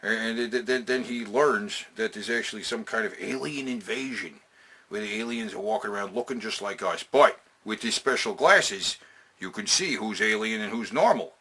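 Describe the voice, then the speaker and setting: A man's voice: fairly low pitch, moderate pace, sharp tone, husky. A man hosting a casual, opinionated countdown, talking in emphatic, sweary slang and repeating phrases to drive them home. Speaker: host of a video game and movie countdown.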